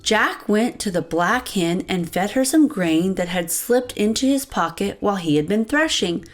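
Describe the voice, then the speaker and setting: A woman's voice: medium pitch, expressive, moderate pace. A woman reading a picture book aloud to young children as host, expressively, addressing them directly. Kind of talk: animated read-aloud narration for young children. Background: light background music.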